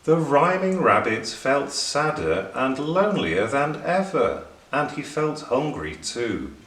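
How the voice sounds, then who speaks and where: A man tells a children's story with very lively intonation and an unhurried pace. He sounds a little away from the microphone in an echoey room. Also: medium pitch. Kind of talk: expressive children's story narration. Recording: roomy, distant, faint background noise.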